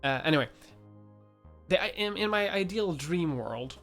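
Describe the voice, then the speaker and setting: A man's voice: a little high, lively intonation, normal speed, a bit bright, soft background music. A man in his twenties from Europe, chatting casually on a livestream, rambling with many fillers and restarts and laughing often. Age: 20s. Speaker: YouTuber.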